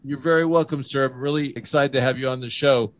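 A man's voice: mid-range voice, steady tone, quick pace, no room sound. A man hosting a radio talk show, with an upbeat, emphatic announcer delivery as he interviews a guest.

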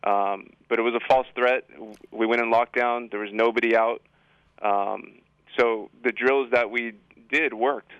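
A man with a smooth, fairly low voice explains things plainly as an interview guest. His delivery stays flat and matter-of-fact, with some rephrasing, on dry, slightly dull radio audio. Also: unhurried, no echo. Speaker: high school assistant principal, interview guest. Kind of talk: informal explanatory interview answers. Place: radio studio.

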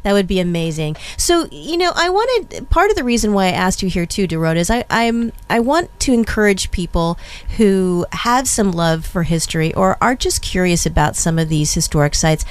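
A woman hosts a relaxed interview with a lowish voice, chatting freely and with enthusiasm. Her talk is loose and friendly, with "you know" scattered through nearly every thought. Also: normal speed, few pauses, clean tone. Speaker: interview host. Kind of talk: conversational interview.

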